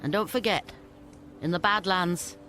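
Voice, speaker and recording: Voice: mid-range voice, a little slow, pushed and bright, faint music behind, poor audio. An actor playing a shrewd, condescending scavenger boss making deals in a video game. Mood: businesslike. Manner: condescending, businesslike. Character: brisk, shrewd scavenger boss, sardonic dealmaker.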